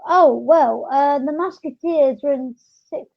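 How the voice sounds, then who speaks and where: A woman hosting a podcast, chatting casually and explaining things in a rambling, halting way. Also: high-pitched, vocal fry, a crowd in the background. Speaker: podcast host. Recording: dull, lo-fi audio.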